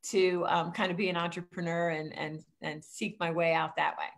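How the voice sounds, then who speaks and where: A woman's voice: fairly low pitch, flat delivery, normal speed, vocal fry. Woman, 50s, Philadelphia accent, rambling storyteller, home video-call guest. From Philadelphia area. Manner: chatty storyteller with frequent ums. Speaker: guest, real estate coach. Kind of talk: casual video-call interview. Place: home room, indoors.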